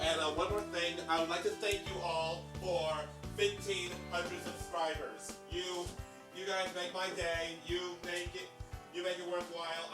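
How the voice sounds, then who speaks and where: A man hosting a reaction video with excitable, hammy, theatrical commentary. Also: fairly high pitch, rough recording.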